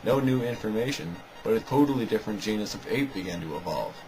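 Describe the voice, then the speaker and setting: Male commentator, lowish pitch, argumentative and dismissive, scripted rebuttal read with clear music underneath. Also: lively intonation, fast, low-quality recording. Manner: sarcastic, dismissive. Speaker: video narrator rebutting another video.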